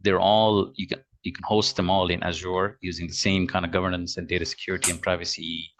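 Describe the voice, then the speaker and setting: Deep, bright-voiced man, hesitant. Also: flat delivery, a little fast, dry recording. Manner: hesitant, many fillers. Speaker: guest presenter. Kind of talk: webinar Q&A answers. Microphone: video call.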